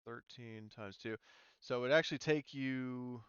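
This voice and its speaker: A man narrating a tabletop roleplaying game casually as game master, haltingly thinking aloud as he goes. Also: mid-range voice, a bit bright, clear, resonant tone, no echo, dull audio. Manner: halting, thinking aloud while checking rules.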